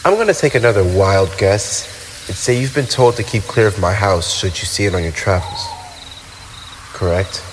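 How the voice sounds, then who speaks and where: A man's voice: on the low side, animated, moderate pace, noisy background, natural voice. A man playing a lonely, centuries-old vampire with wounded pride, speaking in wistful, formal, theatrical phrases in an ASMR roleplay. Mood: defensive, haughty. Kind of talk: ASMR roleplay monologue addressed to the listener. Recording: night ambience with crickets and owls.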